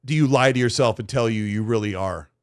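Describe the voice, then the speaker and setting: A man in his late forties co-hosting a podcast, self-mocking and quick to laugh in casual banter. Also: mid-range voice, smooth. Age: 40s. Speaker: podcast co-host.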